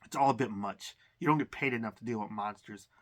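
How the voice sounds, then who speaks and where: A man's voice: lowish voice, fast, a bit bright. A man casually talking through a game unboxing, rambling with lots of fillers and restarts. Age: adult. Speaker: collector unboxing games.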